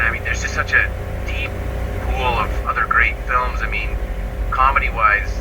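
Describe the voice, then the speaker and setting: A man chats as a filmmaker guest, earnest and full of enthusiasm. His voice sits fairly low and comes from a little way off the mic, with obvious noise underneath. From Canada. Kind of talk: casual interview chat. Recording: distant mic with clear background noise.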